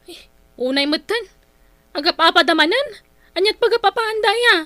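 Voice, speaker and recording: A woman's voice: very high voice, lively intonation, normal speed, sharp tone, dry recording, exaggerated voice. A woman playing a dutiful, long-suffering, outspoken eldest daughter in an earnest, emotional radio drama style. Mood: worried. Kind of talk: Ilocano radio drama acting.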